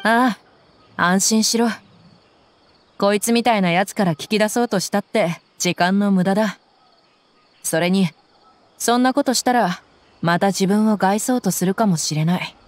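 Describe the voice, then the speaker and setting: A woman's voice: medium pitch, normal speed, long pauses, no echo, close to an everyday voice. An actress playing a tough, confident young woman mercenary in a Japanese anime game, with blunt, intimidating phrasing. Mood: rueful, serious.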